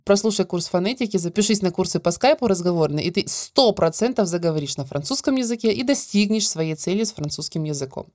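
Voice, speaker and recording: A woman teaching a French grammar lesson, explaining clearly and stretching out the example words. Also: on the low side, darkish tone, dry recording, clean studio sound. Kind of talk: scripted grammar lesson narration.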